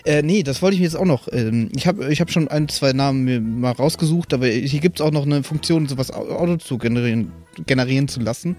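A man's voice: medium pitch, quick pace, few pauses, a little mellow. A man chatting casually as a podcast co-host about making game characters. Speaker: podcast co-host and tabletop role-player. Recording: music playing behind. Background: background music.

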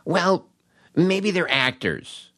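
A man's voice: high voice, sing-song, drawn-out words, bright, forceful tone, voice pushed up, heavily acted. A man voicing a frantic, naive and self-important female Hollywood assistant in a comic anecdote, flustered and argumentative. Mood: flustered, indignant.

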